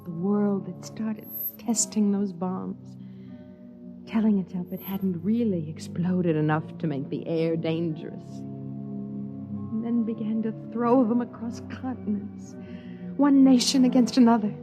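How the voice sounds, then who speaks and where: An actress playing a weary, frail young wife in a 1950s radio drama, with a brooding, theatrical delivery. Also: female voice, medium pitch, fairly even intonation, unhurried, lightly acted. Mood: grim, haunted. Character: weary, frail, submissive young wife in post-nuclear shelter. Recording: muffled vintage radio recording with music.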